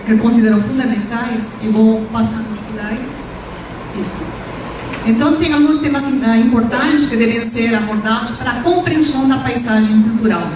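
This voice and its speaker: A woman from Peru with a Peruvian Spanish accent, a native Spanish speaker giving a formal, measured academic conference presentation as invited professor. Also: fairly high pitch, darkish tone. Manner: formal, measured lecturing with Spanish-tinged hesitations. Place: lecture hall. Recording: echoey hall from far off, faint noise.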